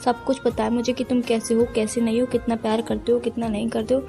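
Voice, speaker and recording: An actress plays a young girlfriend in an audio relationship drama. Her voice is high and she speaks fast, earnest and insistent, torn but firm. Music plays under her. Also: level tone, gravelly, mellow. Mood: firm, pained. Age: young adult. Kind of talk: acted phone-call drama in conversational Hindi with some English.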